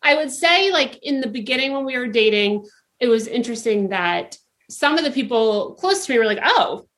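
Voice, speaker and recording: Woman in her 30s, chatty and giggly, slightly high voice. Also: animated, moderate pace, fairly bright tone. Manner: casual, giggly, self-deprecating. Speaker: podcast guest.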